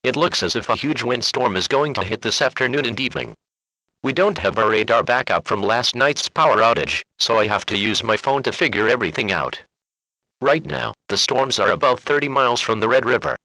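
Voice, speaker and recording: Man acting as a serious male local weatherman, crisp bright tone, very dry sound, scripted report. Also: medium pitch, lively intonation, brisk, dry recording, low-quality recording.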